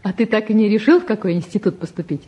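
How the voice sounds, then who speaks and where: An actress playing a calm young woman in a naturalistic drama, composed and lightly ironic as she probes and teases. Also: medium pitch, quick pace, natural voice. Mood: gently probing. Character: calm, gently teasing young woman photographer visiting. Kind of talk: naturalistic stage and radio drama acting.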